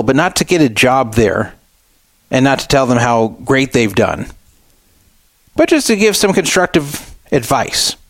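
Male, middle-aged talk radio host. Mid-range voice, opinionated and emphatic solo commentary, deliberate phrasing, very dry recording. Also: mid-range voice, dry recording.

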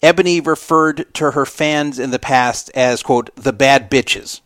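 A man's voice: mid-range voice, measured pace, a bit bright, no echo, studio quality. Emphatic man hosting an opinionated talk show. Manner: rambling, emphatic, repeats himself for emphasis. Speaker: podcast host. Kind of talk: casual opinionated radio talk commentary.

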